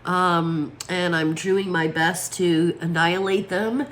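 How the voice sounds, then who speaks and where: A woman's voice: fairly low pitch, steady tone, slow pace, fairly bright tone, a little hiss or hum. Froggy-voiced woman chatting over planner stickers. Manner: chatty, lots of fillers, talks while placing stickers. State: froggy voice from allergies. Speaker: planner vlogger. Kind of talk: casual chat while crafting.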